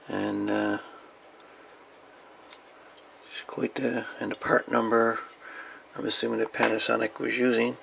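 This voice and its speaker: A man giving a casual hands-on show-and-tell as a hobbyist, in a folksy, rambling, halting way. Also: medium pitch, vocal fry, slight background noise. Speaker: radio hobbyist presenting salvaged parts.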